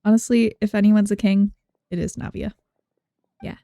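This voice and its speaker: A woman's voice: medium pitch, steady tone, moderate pace, vocal fry, dry recording, studio quality. A young woman giving casual, rambling, playful game commentary as she thinks aloud. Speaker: game streamer. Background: soft game music.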